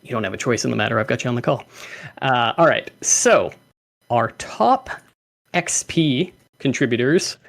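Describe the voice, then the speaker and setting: A man's voice: mid-range voice, animated, moderate pace, vocal fry, fairly bright tone. A young man hosting a livestreamed community call, reading out names and laughing often. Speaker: host.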